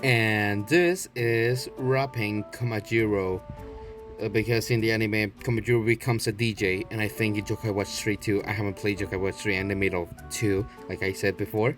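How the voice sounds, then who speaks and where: Guy w lowish voice doing a sweary unscripted vlog, rambly, music in the bg. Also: fairly even intonation, normal speed. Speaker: video blogger showing a toy collection. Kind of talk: unscripted casual show-and-tell.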